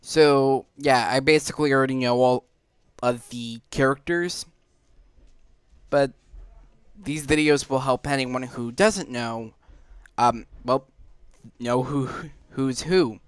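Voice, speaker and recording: A man's voice: mid-range voice, slow pace, long pauses, clean tone, no room sound. A man giving casual, rambling gameplay commentary with a hesitant delivery. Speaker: gaming video narrator. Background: game music.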